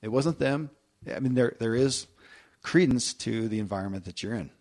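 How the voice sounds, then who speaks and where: A man's voice: mid-range voice, moderate pace, darkish tone. A man hosting a podcast, chatting casually and telling stories with lots of fillers and restarts. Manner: talkative, many fillers and restarts. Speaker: podcast host. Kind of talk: casual chat reading listener stories.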